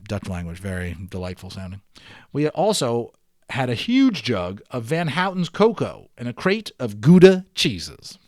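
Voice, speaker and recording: Male storyteller, mid-pitched, expressive and enthusiastic, amateur read-aloud of a children's novel with chatty asides, dry recording. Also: measured pace, dry recording. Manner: expressive, enthusiastic storyteller, emphasizes and draws out words. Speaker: amateur audiobook reader.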